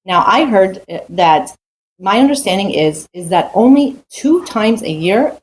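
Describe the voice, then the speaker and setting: A woman's voice: medium pitch, expressive, a little slow, slight background noise. An adult woman teaching a weekly Torah portion in a casual, earnest way, with frequent restarts and rhetorical questions. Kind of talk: casual spoken Torah talk.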